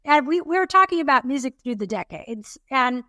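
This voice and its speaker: A woman's voice: high-pitched, expressive, a little fast, sharp tone, no echo, clean studio sound. A woman in her fifties co-hosting a podcast, chatting casually and encouraging listeners. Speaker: podcast co-host. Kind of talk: casual chat.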